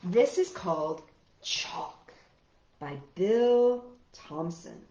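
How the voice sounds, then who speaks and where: A woman hosting a children's storytime, gently chatting and reading aloud to young viewers with encouraging little questions. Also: on the low side, expressive, extremely slow, a little hiss or hum. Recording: roomy echo, slightly dull sound, guitar music.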